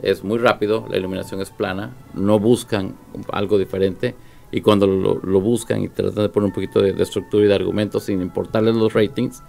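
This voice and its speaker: A low, gravelly man speaks deliberately as a guest on a studio radio panel. Faint music sits behind his voice. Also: flat delivery, warm tone. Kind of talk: panel discussion.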